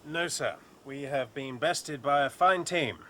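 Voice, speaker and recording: A man as a bright-voiced, formal sports captain. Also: on the high side, unhurried. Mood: stoic, proud. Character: formal, proud young male rugby captain answering press. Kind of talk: period radio drama acting.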